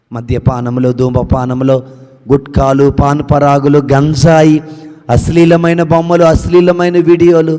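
A man's voice: a little high, darkish tone. Man leading a chanted prayer, long reverb. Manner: rhythmic chanted listing, falling at each petition's end. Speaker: prayer leader. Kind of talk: intercessory prayer.

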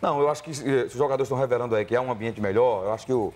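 A man in a TV studio giving brisk, opinionated sports commentary. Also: mid-range voice, a little mellow, faint music behind.

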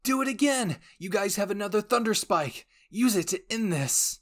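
A man voicing an excitable, fierce squad leader in an anime story, yelling urgently. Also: moderate pace. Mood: urgent, excited. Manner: urgent high-pitched yelling.

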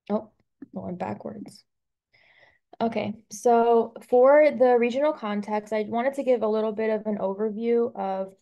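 A woman's voice: mid-range voice, gravelly, warm tone. A young adult woman from Albania, a student giving a webinar presentation over a video call, talking casually with plenty of "um" and "like" fillers.

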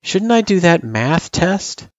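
Man voicing an anxious young girl, pitched high. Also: very animated, no echo, lo-fi sound, full character voice. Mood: unsure, insistent. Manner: hesitant, halting, plaintive. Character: young anxious girl, pouty and unsure, sweet. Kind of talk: character voice in story narration.

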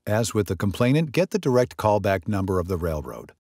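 Guy with a lowish voice, measured instructional narration, really dry sound. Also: sing-song, a little mellow, dry recording. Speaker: training video narrator. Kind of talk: scripted narration.

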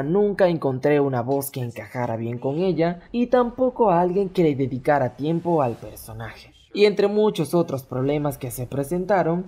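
A woman's voice: low-pitched, dark tone. A young adult woman narrating a scripted vlog addressed to fans, as a fandub creator. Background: background music.

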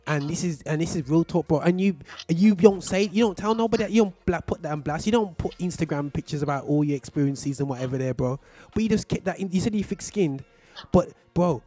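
Mellow host, slang-heavy and encouraging. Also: male voice, fairly high pitch, dry recording. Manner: slang-heavy, encouraging, says "bro" constantly. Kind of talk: casual conversation.

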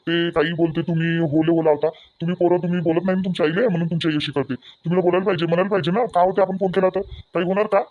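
A fairly high-voiced cartoon father played by a man in slightly dull, lo-fi sound, speaking with a Varhadi Marathi accent. He is exasperated and argumentative. He keeps pressing his point in a pleading, reasoning way. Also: little pitch movement, fast, hardly any pauses, no room sound, close to an everyday voice. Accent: Varhadi Marathi of Vidarbha. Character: exasperated, reasoning middle-aged village father. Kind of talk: comic family cartoon dialogue.

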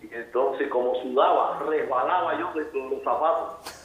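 A man's voice: medium pitch, moderate pace, a little hiss or hum. A man calling in to a talk show, reminiscing with amused laughs. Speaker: caller. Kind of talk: casual phone-in chat. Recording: dull echoey phone line.

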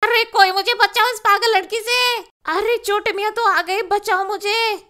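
A woman's voice: extremely high pitch, fairly even intonation, moderate pace, sharp tone. A woman voicing a panicking male kidnapper who wails and begs for mercy in a children's doll story. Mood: panicked, pleading. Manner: whining, wailing pleas.

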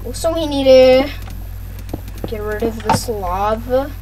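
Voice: medium pitch, slow, noisy background. A gaming video host giving casual play-by-play, repeating phrases and talking to viewers. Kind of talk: casual gameplay commentary.

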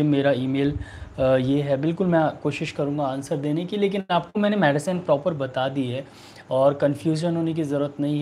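A man in his thirties with an Indian accent, a doctor answering viewer questions in a halting, repetitive way. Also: fairly high pitch, dark tone, slight background noise. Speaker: homeopathic doctor hosting a live online clinic. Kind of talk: answering viewer questions, giving remedy advice. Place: small furnished room indoors.

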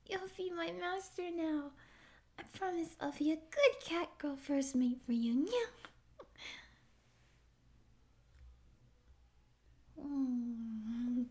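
A young woman acting a naive, pouty stray catgirl in a soft, halting ASMR roleplay voice. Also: very high voice, expressive, heavily acted. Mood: overjoyed, grateful. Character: naive, tearful stray catgirl would-be space pirate. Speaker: ASMR voice actor. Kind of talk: ASMR roleplay audio drama.

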